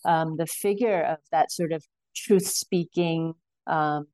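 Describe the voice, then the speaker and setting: A woman's voice: low voice, fairly even intonation, slow, warm tone, dry recording. A woman from Singapore, an academic, answering interview questions thoughtfully with frequent hesitations. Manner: thoughtful, many ums and sort-ofs. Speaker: author, professor.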